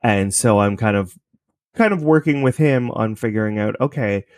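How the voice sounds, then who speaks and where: A man's voice: on the low side, normal speed, a little mellow, dry recording, clean studio sound. A man from Canada talking casually and informally as an interview guest, thinking aloud as he explains his creative work.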